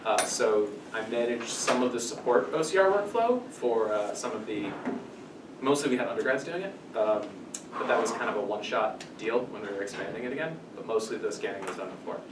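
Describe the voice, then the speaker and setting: A man's voice: lowish voice, lively intonation, fairly quick. A man in his thirties giving an informal introductory lecture in a conversational, explanatory way, recorded by a distant camera microphone in a small meeting room. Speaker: workshop presenter. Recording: echoey room from afar, noisy.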